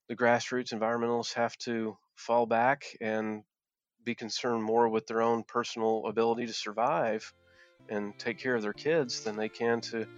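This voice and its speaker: A man from southwest Virginia, a former coal miner speaking earnestly as an interview guest, often restarting and repeating words. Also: medium pitch. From southwest Virginia, Appalachia. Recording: dull, dry lo-fi sound.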